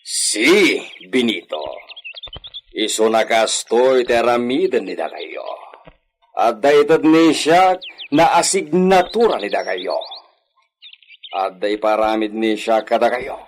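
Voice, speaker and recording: An actor playing a scheming, treasure-hunting hacienda master in a radio drama, giving orders in a commanding, conspiratorial way. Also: male voice, medium pitch, animated, slow pace, pauses often. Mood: sly, satisfied.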